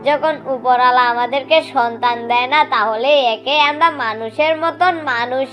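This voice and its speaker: A woman's voice: fairly high pitch, moderate pace, a bit bright, faint music behind, voice pushed up, natural voice. A woman voicing a ghost's wife in a children's cartoon, an insistent, questioning homemaker. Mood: accepting, agreeable.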